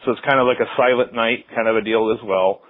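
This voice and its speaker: A middle-aged American man answering interview questions as a sports announcer, talking casually and reflectively in a rambling way with frequent pauses. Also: mid-range voice, fairly even intonation. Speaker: interview guest, sports public address announcer. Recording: roomy, slightly muffled phone-like line.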